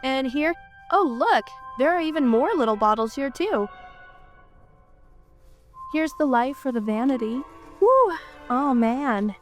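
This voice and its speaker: Congested woman giving an excited house tour. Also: high voice, slow pace, pauses often, soft background music. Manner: chatty, exclaims often in amazement. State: congested from a cold. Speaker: urban explorer vlogger. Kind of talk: casual guided tour narration. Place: abandoned house, indoors.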